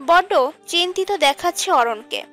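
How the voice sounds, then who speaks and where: A woman's voice: very high-pitched, expressive, measured pace, a bit bright. A woman reading scripted narration for a romantic audio story. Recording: music under the voice. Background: background music.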